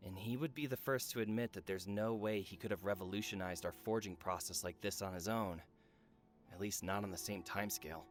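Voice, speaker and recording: A man voicing a grave, principled forge overseer in a video game, with a measured, formal and earnest delivery. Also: lowish voice, lightly acted. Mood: measured, appreciative.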